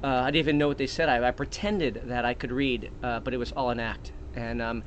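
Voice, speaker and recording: A man's voice: medium pitch, fairly even intonation, a little fast, slight background noise. Rambling mock-earnest man spinning a tall tale. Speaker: comedic storyteller. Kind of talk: improvised comic monologue.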